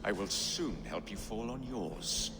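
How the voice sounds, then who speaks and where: A man playing a proud, vengeful British king in a video game, slow and declamatory with contempt. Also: lowish voice, animated. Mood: cold contempt. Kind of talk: dramatic video game acting. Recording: long hall reverb, loud music.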